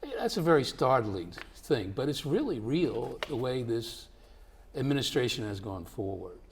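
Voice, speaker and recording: A man hosting a panel discussion, speaking deliberately and conversationally. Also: medium pitch, very animated, gravelly, a little hiss or hum. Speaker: host.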